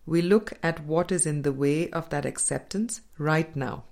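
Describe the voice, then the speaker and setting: A woman reading a spiritual dialogue book aloud as an audiobook, in a measured, deliberate manner with pauses. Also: deep voice, creaky, a little mellow. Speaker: audiobook reader.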